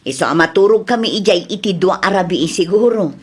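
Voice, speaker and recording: A woman plays an easygoing, practical madam of the house in a comedy audio drama. She has a slightly low voice and an Ilocano accent. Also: lively intonation, a little fast, breathy edge. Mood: easygoing, instructive.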